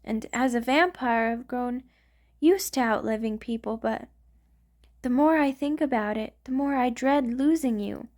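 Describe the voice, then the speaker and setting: Woman voice-acting a devoted female vampire, high-pitched, tender, hesitant, refined, romantic ASMR roleplay. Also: unhurried, natural voice. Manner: tender, hesitant, earnest, gently formal. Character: affectionate, refined vampire queen in love with a human.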